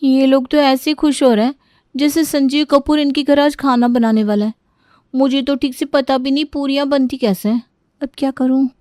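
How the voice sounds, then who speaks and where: A woman's voice: sits high, fairly even intonation, normal speed, mellow, no room sound, studio quality, natural voice. A woman voicing a timid, evasive young bride in a cartoon story. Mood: worried.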